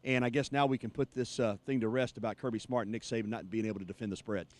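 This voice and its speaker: Fast-talking male sports reporter. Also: mid-range voice, fairly even intonation. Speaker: sports director reporting on game. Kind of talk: broadcast sports report and discussion.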